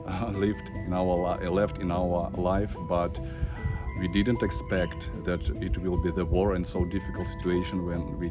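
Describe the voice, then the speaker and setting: A man in his thirties with a Russian accent, talking to camera about his family, halting with many fillers and restarts. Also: low-pitched. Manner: halting, many fillers and restarts, simple non-native grammar. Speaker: vlogger. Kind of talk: personal talk to camera.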